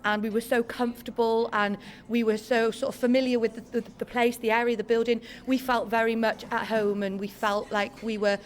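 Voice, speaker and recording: Eager female performer, fairly high voice, faint noise behind. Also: level tone, brisk, hardly any pauses. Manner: gushing, eager, laughs often. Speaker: singer in a duo, award winner. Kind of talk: excited interview answers.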